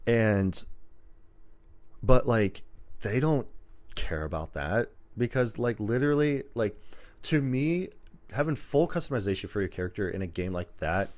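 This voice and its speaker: A man's voice: lowish voice, expressive, measured pace, a little mellow. A man co-hosting a podcast, chatting casually about games and movies in a rambling, emphatic, sometimes sweary way. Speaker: podcast co-host.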